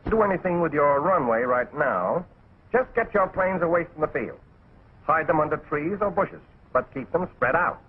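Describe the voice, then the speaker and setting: Bossy cartoon chameleon army teacher, american, high raspy voice, swoopy, old muffled film sound. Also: male voice, moderate pace. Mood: instructive. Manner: folksy, animated, instructive. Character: brisk, bossy, encouraging cartoon chameleon instructor. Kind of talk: 1940s animated military training film narration. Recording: muffled 1940s film soundtrack, faint music.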